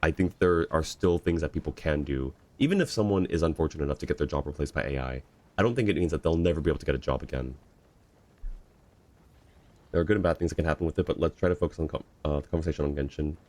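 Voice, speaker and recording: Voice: extremely low pitch, quick pace, darkish tone. An easygoing livestreamer chatting casually while reacting to a game and viewers. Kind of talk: casual game stream chat.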